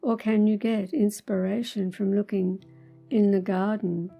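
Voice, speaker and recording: Very slow, dark-voiced woman guiding meditation. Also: mid-range voice, fairly even intonation, creaky, soft background music. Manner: measured, soothing, deliberate phrasing with pauses. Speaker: guided meditation host. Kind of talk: guided meditation, spiritual talk.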